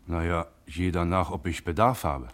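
A man's voice: low-pitched, normal speed, lightly acted. An actor playing an uneasy male car mechanic in a German radio crime drama, hesitant and hedging under questioning. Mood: wary, evasive.

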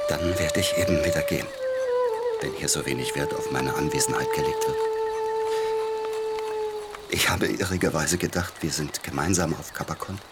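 An actor in a radio drama playing a haughty, self-justifying musician, wordy and affronted. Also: male voice, low-pitched, heavily acted.

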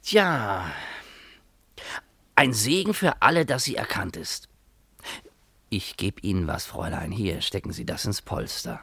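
A sly, superstitious village quack in a radio play. His voice swings widely in pitch, full of pious, knowing insinuation. The recording is dry and close. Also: lively intonation, unhurried, vocal fry, pauses often, bright, forceful tone, husky, no room sound, exaggerated voice. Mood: secretive. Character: sly, superstitious village quack dispensing dark hints and charms. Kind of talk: German radio play acting.

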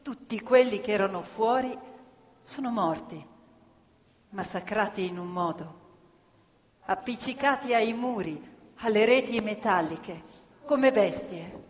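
A woman's voice: medium pitch, measured pace, pauses often. A woman storytelling dramatically in radio theatre as an actor, with pointed, emphatic delivery. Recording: reverberant hall, live stage performance.

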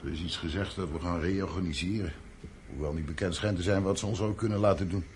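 An actor playing an anxious, irritable husband and father in a naturalistic radio play, speaking tersely and gruffly. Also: low voice, steady tone, fast talker, lightly acted. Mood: weary, restless. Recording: noisy old radio drama recording.

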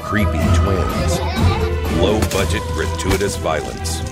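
An actor playing an ominous, mock-grave horror movie trailer announcer, speaking in slow, dramatic, deadpan phrases. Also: male voice, sits low, heavily acted. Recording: voice under loud trailer music.

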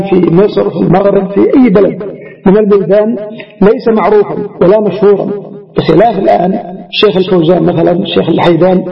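A man teaching religious studies, lecturing through a classical text line by line in a didactic way, restating and explaining each phrase. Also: high voice. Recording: reverberant hall, dull lo-fi radio-like sound.

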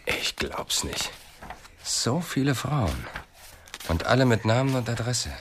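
A man's voice: medium pitch, very animated, slow, background noise. A man acting in a radio drama as a dry, wry, inquisitive police detective. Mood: wry, curious.

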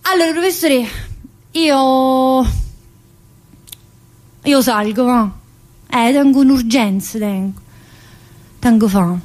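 A woman's voice: high voice, takes it slowly, pauses often, darkish tone, poor audio. A woman performing a theatrical monologue as a chatty, bossy, needy prisoner, with a colloquial, teasing manner. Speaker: actor in a solo stage monologue.

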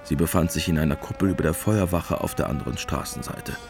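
A man's voice: very deep voice, fast talker, creaky. A man narrating a literary radio drama from a script. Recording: music behind the voice. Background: music.

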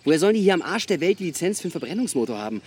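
A young actor playing a grumbling, sarcastic backpacker in a naturalistic radio drama, talking in slang. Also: male voice, on the high side, sing-song, racing, slightly rough. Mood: wry, incredulous. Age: young adult.

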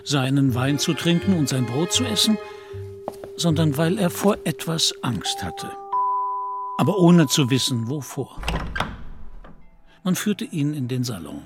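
A man's voice: a little high, huge pitch swings, unhurried, pauses often, over music. A man giving scripted literary narration in a radio play.

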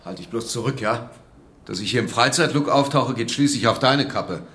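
A man's voice: mid-range voice, normal speed, slightly rough. A man playing a grumpy, curt police lieutenant with a blunt, irritated manner in a radio drama. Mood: curt, probing. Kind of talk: naturalistic radio drama dialogue. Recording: loud background noise.

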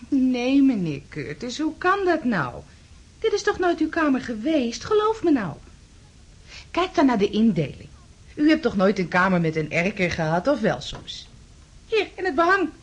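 Landlady in a radio play, breathy n swoopy, humours you like a patient. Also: on the high side, big pitch swings, moderate pace. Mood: coaxing, reluctant. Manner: coaxing, soothing, patronising. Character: placating, firm landlady humouring an unwell male lodger. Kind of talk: Dutch radio play acting.

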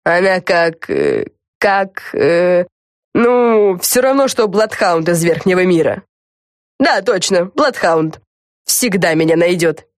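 A woman voicing a proud, earnest young witch girl in a children's storybook, emphatic and slightly halting. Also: lowish voice, very animated, no room sound, clean studio sound. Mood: proud, searching.

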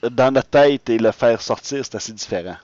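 A man's voice: medium pitch, moderate pace, darkish tone, highs cut off. A man with a Québécois French accent interviewing someone in a casual, chatty way. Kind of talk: casual chat.

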